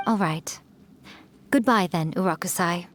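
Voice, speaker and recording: A woman's voice: on the low side, a little slow, slight background noise, lightly acted. A woman voicing a sly, smug, centuries-old fox-spirit priestess in an anime-style game, teasing and knowing. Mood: fond. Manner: teasing, self-satisfied, knowing.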